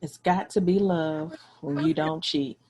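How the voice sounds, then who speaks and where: A woman's voice: sits low, steady tone, a little slow, mellow, a little hiss or hum. A woman hosting a radio talk show, chatting frankly and casually in slangy, conversational language with her guest. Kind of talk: casual, frank interview chat.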